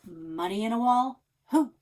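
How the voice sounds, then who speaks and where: A woman's voice: fairly high pitch, lively intonation, unhurried, darkish tone, voice pushed up. A woman voicing a casual, chatty local boy from a children's book, offhand and knowing. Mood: puzzled. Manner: offhand, slangy, hesitant fillers.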